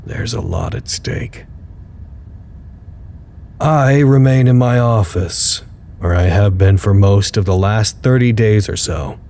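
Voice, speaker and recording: Weary researcher, low pitch, articulate, wry, formal log narration, audio drama. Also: male voice, slow, pauses often, dark tone, a little hiss or hum. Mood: weary, grave. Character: weary dutiful site researcher recording dry, wry status logs.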